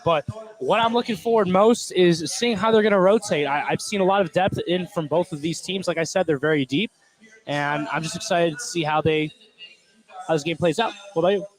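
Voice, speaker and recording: A man's voice: on the high side, rough recording. A man giving casual, enthusiastic analysis as co-commentator on a live basketball broadcast. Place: indoor school gym.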